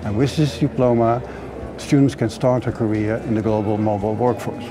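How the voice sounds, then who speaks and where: A program director giving a formal, measured welcome address. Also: male voice, lowish voice, steady tone. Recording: music bed underneath.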